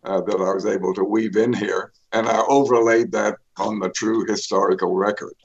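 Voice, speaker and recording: An older man, an author, telling his life story in a radio interview, pausing often with fillers and restarts. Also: fairly low pitch, fairly even intonation, highs cut off. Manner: rambling, many fillers and restarts. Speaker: author guest.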